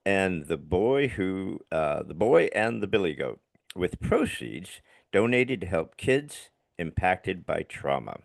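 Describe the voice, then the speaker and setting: A low, gravelly older man with a slight lisp hosts a casual podcast interview. His voice swings widely in pitch as he talks with easy enthusiasm. The sound is dry and close. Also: no echo. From Long Island. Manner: enthusiastic, casual, calls guest buddy and dude. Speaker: podcast host. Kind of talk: casual podcast interview, reading a guest bio.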